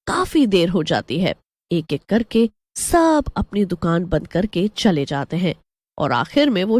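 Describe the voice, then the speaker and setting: Woman storyteller, animated pitch. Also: dry recording. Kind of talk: scripted story narration.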